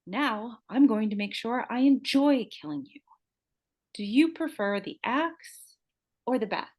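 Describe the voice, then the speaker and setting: A woman's voice: a little high, measured pace, a little mellow. A vain, vengeful pageant killer, acted by a woman at a script table read. She gloats and taunts like someone unhinged, swearing freely. The delivery is theatrical horror-drama. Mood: menacing taunts. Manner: taunting, profane, theatrical outbursts. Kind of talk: live screenplay table read.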